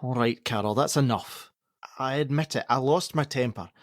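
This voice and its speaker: A man's voice: medium pitch, normal speed, no room sound, clean studio sound, natural voice. An actor playing a defensive, argumentative middle-aged father in a naturalistic radio play, sarcastic and given to swearing. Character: angry, defensive, domineering father of a pregnant teenage girl.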